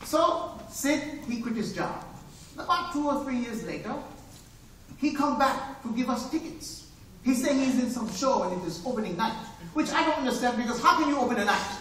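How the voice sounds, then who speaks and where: A man's voice: very high voice, animated, moderate pace, fairly bright tone, slight background noise. A man on a live stage voicing an immigrant dishwasher father, telling the story in broken English with chuckles. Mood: storytelling. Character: immigrant male dishwasher, older father, wry and wide-eyed. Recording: echoey theater, heard from far off.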